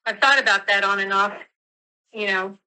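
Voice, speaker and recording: A woman's voice: medium pitch, steady tone, moderate pace, sharp tone, clear, resonant tone. A woman on a phone call, casually recalling things as she gives her account. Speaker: phone interviewee giving a statement. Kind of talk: casual conversational recollection. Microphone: telephone call.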